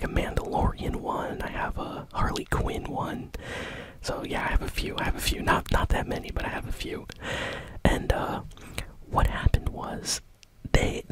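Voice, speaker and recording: A man whispering casual gaming news as an ASMR host, breathy and informal, rambling a little. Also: very high voice, rough recording.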